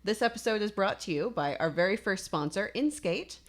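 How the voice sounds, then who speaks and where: A woman co-hosting a podcast in a relaxed, chatty way, often agreeing with her co-host. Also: mid-range voice, fairly quick. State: recovering from lost voice. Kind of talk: casual chat.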